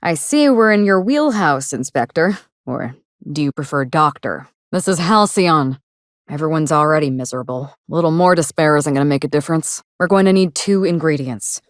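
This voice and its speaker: A woman's voice: low-pitched, expressive, normal speed, no room sound. An actress playing a sardonic, grieving woman out to avenge her sister in a video game, speaking articulately with dry, controlled sarcasm. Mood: businesslike, determined. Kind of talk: video game dialogue acting.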